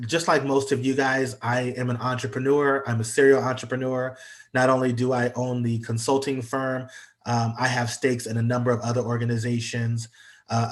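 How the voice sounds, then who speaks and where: A congested man gives an online presentation as a guest speaker, talking hesitantly with many fillers. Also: medium pitch. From United States. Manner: hesitant, lots of ums and uhs. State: congested from allergies. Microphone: video call.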